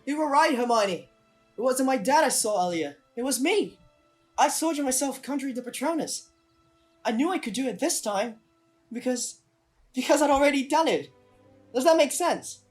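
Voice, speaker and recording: Woman acting a teenage boy wizard, determined, lively swings of pitch, audio drama, music behind. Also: moderate pace, pauses often. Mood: excited, earnest.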